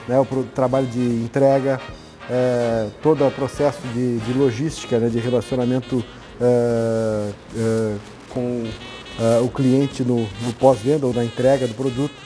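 A man answering interview questions in a measured, hesitant way. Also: medium pitch, level tone, dark tone. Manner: measured, hesitant with many fillers. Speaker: guest. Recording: faint music under the voice.

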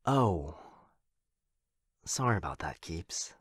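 A man plays an exasperated goth horseman in an audio comedy. His lowish voice is very animated as he chides casually, captured close and dry. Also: slow pace, slightly rough, no room sound, heavily acted. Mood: sheepish. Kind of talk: boyfriend ASMR comedy roleplay.

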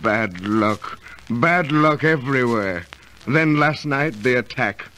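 Old radio play role: aggrieved Babylonian trader, grandly lamenting, unhurried delivery, faint background noise. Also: male voice, mid-range voice, lively intonation, sharp tone, low-quality recording. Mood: bitter, weary. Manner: lamenting, declamatory, archaic formal speech. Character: aggrieved, self-pitying ancient Babylonian merchant, turning defiant against his gods. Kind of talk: golden-age radio drama acting.

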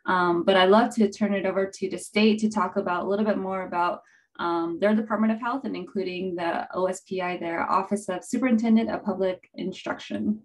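A woman hosting a webinar as project manager, upbeat and relaxed, with frequent fillers and restarts, speaking over a video call. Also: mid-range voice, fairly quick, rough recording. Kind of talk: scripted-leaning webinar presentation.

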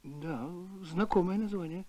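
A man's voice: high-pitched, a little slow, a little mellow, dry recording. A man voicing a mild, polite middle-aged professor and father in a Soviet cartoon. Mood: friendly. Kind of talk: Soviet animated film voice acting.

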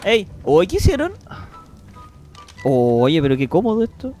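Excitable high-voiced Chilean man. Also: measured pace, soft background music. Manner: loud, excitable, swears freely. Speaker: streamer. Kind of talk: casual gaming livestream chat.

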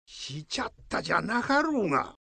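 Man playing elderly Buddhist priest, creaky voice, dry close sound, fussy grumbling, old-fashioned phrasing, radio drama. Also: high voice, big pitch swings, normal speed, bright, forceful tone, dry recording, exaggerated voice. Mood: exasperated. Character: ailing old Buddhist head priest, fussy but fond.

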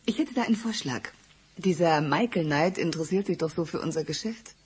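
A woman's voice: low voice, fairly quick, a little mellow, breathy edge. A woman playing a cool, scheming, seductive poisoner in a 1980s radio play, sultry and calculating.

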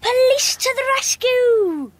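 A woman playing an anxious, excitable young schoolboy with an English accent in a children's audio drama. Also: extremely high pitch, takes it slowly, slight background noise, exaggerated voice. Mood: excited.

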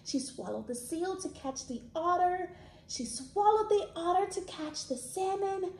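A woman in her thirties hosting a library storytime, reading aloud to children expressively with dramatic, drawn-out emphasis. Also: very high-pitched, a little mellow. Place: small indoor room, plain wall. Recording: echoey room.

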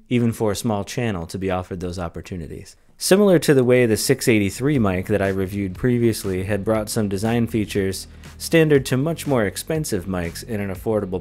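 Man, thirties, low voice, relaxed measured review, close desk mic, faint background music. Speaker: tech reviewer. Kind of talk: casual product review. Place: small home office, indoors. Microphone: close desk condenser mic on boom arm.

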